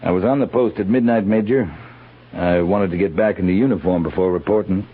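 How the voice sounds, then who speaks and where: A man's voice: low-pitched, brisk, breathy edge, phone-quality sound. An American man, middle-aged, playing a seasoned, stubborn cavalry captain with clipped, dry command, in 1950s radio western style. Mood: dry, measured. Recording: thin band-limited old radio recording.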